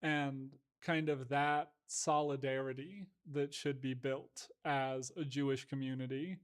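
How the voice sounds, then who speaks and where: A man in a casual conversation, reflective and measured, hedging often with "like". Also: mid-range voice, level tone, clear, resonant tone.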